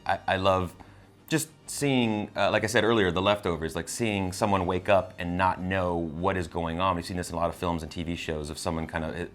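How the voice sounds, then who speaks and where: A man co-hosting a casual movie discussion, talking in a halting, rambling way and often repeating words. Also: sits low, steady tone, a bit bright. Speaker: entertainment show co-host reviewing a film.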